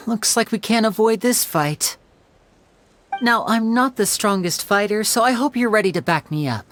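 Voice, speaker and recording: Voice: mid-range voice, expressive, unhurried, fairly bright tone. A suave young magician. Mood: resigned. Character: young male stage magician, poised and theatrical. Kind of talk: video game dialogue.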